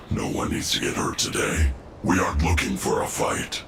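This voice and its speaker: A sly, coldly mocking villain with an extremely low, growling voice full of fry. He speaks deliberately and sardonically, swinging through his range, as in a fantasy video game. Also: very animated, noisy background, heavily acted. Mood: calm threat. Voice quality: very deep, gravelly. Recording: roomy echo, background music.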